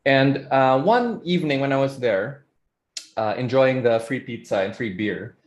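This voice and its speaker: A man's voice: medium pitch, a little slow, reverberant, lo-fi sound. A man from the Philippines giving a conversational webinar talk, telling a story with frequent fillers. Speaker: webinar speaker. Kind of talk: presentation talk.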